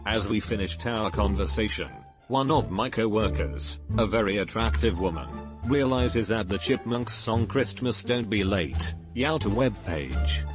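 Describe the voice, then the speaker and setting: A man reading out online forum posts as a scripted narrator, in an even, synthetic-sounding way. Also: lowish voice. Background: music.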